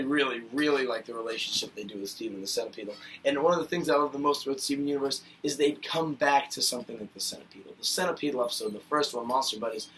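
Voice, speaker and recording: Chatty bright-voiced male vlogger. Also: mid-range voice, far from the mic, a little hiss or hum, rough recording. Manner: rambling and chatty with many fillers, gets worked up. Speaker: YouTube vlogger reviewing cartoon episodes. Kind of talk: casual rambling video review.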